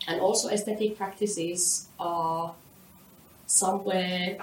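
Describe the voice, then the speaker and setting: A woman's voice: fairly low pitch, steady tone, takes it slowly. Woman researcher giving a conference talk, careful and a bit hesitant, roomy distant mic. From Finland. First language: Finnish. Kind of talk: academic presentation, semi-scripted. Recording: echoey room, distant mic, faint noise.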